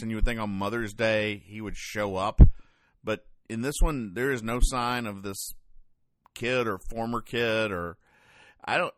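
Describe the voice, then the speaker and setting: A man hosting a podcast, giving casual, wry comedic commentary in a deadpan way. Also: medium pitch, no room sound, studio quality. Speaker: podcast host. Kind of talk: casual comedic commentary, explaining comic strips.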